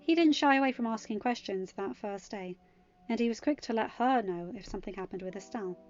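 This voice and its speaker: A woman narrates fiction in a scripted audiobook style at a medium pitch. Music plays underneath, and the sound is slightly muffled. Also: a little fast, a little mellow.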